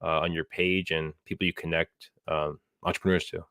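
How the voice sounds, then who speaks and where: A man's voice: deep voice, flat delivery, brisk, fairly bright tone, no echo, clean studio sound. A man hosting a podcast, asking long, hesitant interview questions filled with "I mean" and "um". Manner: hesitant, many "I mean" and "um" restarts. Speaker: podcast host.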